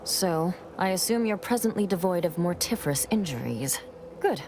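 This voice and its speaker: An actress playing an overworked medic in a video game, dry, clinical and matter-of-fact. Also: female voice, lowish voice, animated, normal speed, background noise. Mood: dry, weary. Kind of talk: video game character dialogue.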